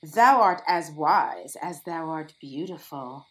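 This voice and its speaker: A woman's voice: sits low, expressive, takes it slowly, a bit bright, exaggerated voice, rough recording. An actress playing a lovestruck, commanding fairy queen, grand and declamatory in a Shakespearean audio play. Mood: enamoured. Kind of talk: theatrical Shakespearean audio drama acting.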